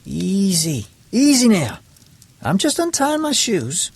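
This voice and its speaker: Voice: mid-range voice, sing-song, slow, breathy edge, full character voice. A performer playing a bold young male drifter in an audio drama, breezy and slangy. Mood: wary.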